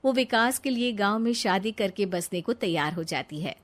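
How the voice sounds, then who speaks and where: A woman's voice: medium pitch, animated, normal speed. A woman narrating a scripted cartoon moral story as its storyteller.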